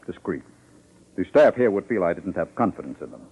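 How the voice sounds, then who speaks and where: A guarded, moneyed publisher, speaking quickly and formally with a lively, swooping delivery. He hesitates, then turns businesslike. It is vintage radio drama with a slightly dull, aged sound. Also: low voice, husky, heavily acted. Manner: hesitant, discreet, formal, with pauses. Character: wealthy, guarded, businesslike male newspaper publisher. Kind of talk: 1950s radio drama acting. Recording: slightly muffled old radio recording.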